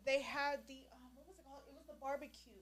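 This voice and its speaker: Woman, high voice, casual stream co-host, chatty, many fillers. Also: steady tone, fast talker. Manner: chatty, lots of fillers, laughs easily. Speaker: podcast co-host. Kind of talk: casual livestream chat.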